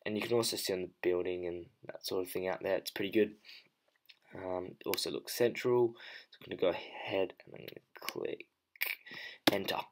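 A man's voice: deep voice, fairly even intonation, fairly quick. A man talking casually through a photo editing tutorial, thinking aloud as he works. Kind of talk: unscripted screen-recorded tutorial narration.

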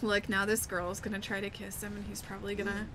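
A woman's voice: medium pitch, completely flat delivery, fast talker, background noise. A young woman game streamer on a headset mic, sarcastically teasing her viewers in casual live commentary. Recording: loud game music behind.

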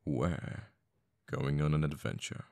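An actor playing a conflicted, protective assassin boyfriend in an intimate ASMR audio roleplay, speaking tenderly and haltingly with pauses. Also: male voice, extremely low pitch, steady tone, creaky, mellow, no echo, full character voice. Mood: weary, resolute.

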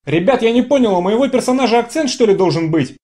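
An actor playing a baffled, irritated voice actor on a game dub. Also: male voice, extremely high pitch, lively intonation, fast, darkish tone, slight background noise. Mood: baffled, annoyed.

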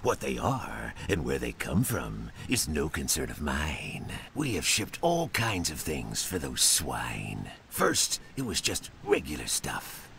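Gruff boastful pirate for a game, super low gravelly voice, bit of noise. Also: male voice, huge pitch swings, takes it slowly, hardly any pauses, fairly bright tone, full character voice. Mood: defensive. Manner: brash, cursing. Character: gruff, swaggering, notorious pirate smuggler, irritable and boastful. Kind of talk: video game dialogue.

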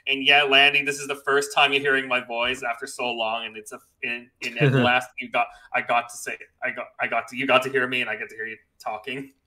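Male co-host: strikingly bright tone, monotone line, stumbling informal delivery. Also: medium pitch, rough recording. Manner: halting, stumbles and repeats words. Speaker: panel co-host. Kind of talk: casual panel talk.

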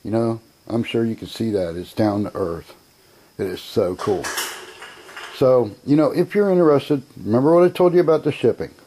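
A man's voice: mid-range voice, normal speed, dark tone, slight background noise, rough recording. An American man presenting an item as a seller in an informal sales video, blunt and sure of himself, with folksy phrasing. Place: indoor room, narrating from behind a handheld camera.

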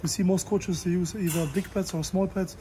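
A man's voice: high voice, steady tone, a little fast, mellow, a little hiss or hum. A man in his thirties vlogging casually from an open-air Muay Thai gym, blunt and joking, in non-native English. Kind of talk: casual vlog talk.

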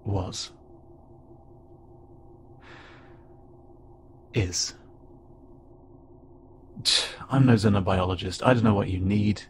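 Man playing a hesitant, low-voiced alien loner pilot. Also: close to an everyday voice. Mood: worried, unsure. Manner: hesitant, halting, stammering and self-doubting. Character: awkward, gentle insect-like alien pilot, a self-described loner. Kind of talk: intimate audio roleplay addressed to the listener. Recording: clearly noisy, with ambient sound bed.

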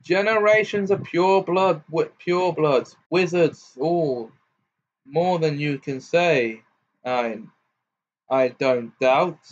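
A man's voice: fairly high pitch, slow pace, a little hiss or hum, pitched above the performer's own voice. A man voicing a brutish, menacing old man who bellows and sneers, growling "arr". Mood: sneering. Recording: dull lo-fi recording.

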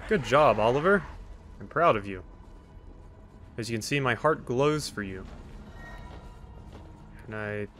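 A man's voice: medium pitch, animated, measured pace, long pauses, a bit bright, faint music behind. A man giving casual let's play commentary in a dry, joking, sarcastic way. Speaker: gaming video host. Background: video game sounds.

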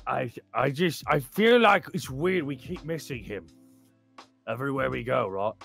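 A man's voice: mid-range voice, expressive, moderate pace, gravelly, fairly bright tone, faint music behind. A man co-hosting a live stream, chatting casually and reading aloud. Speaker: stream co-host.

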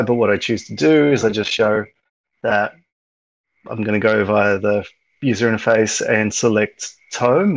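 Gravelly man giving a rambling tech talk. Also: lowish voice, muffled recording. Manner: rambling, lots of ums and restarts. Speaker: meetup presenter. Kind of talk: casual conference talk over slides.

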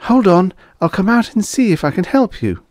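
A man's voice: sits high, big pitch swings, moderate pace, darkish tone, no echo, studio quality, pitched above the performer's own voice. A man voicing a sympathetic, unhappy and guarded young waitress in a science fiction story. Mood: sympathetic, inquiring.

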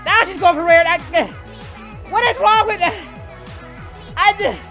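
A performer voicing an excitable young male anime hero, hosting a reaction video with melodramatic yelling and wailing. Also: very high-pitched, moderate pace, heavily acted. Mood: outraged. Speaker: reaction channel host. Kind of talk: over-the-top reaction commentary. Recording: music playing behind.

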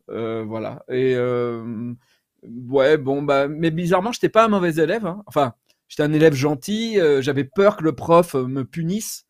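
A man in his forties chatting casually on a video call, self-deprecating and halting as he tells stories about himself. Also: mid-range voice, a little mellow, no echo, clean studio sound. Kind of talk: casual personal anecdotes, round-table chat. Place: small room at home, indoors.

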